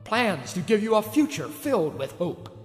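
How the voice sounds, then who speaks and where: A man's voice: high-pitched, sing-song, moderate pace, big-room sound, soft background music. A man playing a grand, theatrical storyteller narrating an audio drama. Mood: reassuring, grand. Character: dramatic male storyteller, ominous then heroic.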